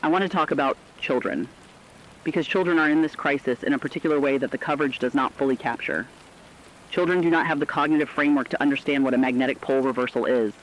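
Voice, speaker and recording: A man playing a reflective, compassionate radio correspondent, delivering a scripted essay in an earnest, deliberate way. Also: mid-range voice, slight background noise, natural voice, poor audio. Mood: reflective, tender. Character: reflective, compassionate male radio correspondent during a global crisis. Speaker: radio commentator.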